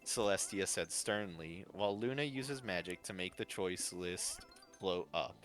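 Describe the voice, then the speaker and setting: A man with a fairly low voice reads a fan-fiction story as narrator and host. Faint music plays behind him. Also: unhurried, fairly bright tone.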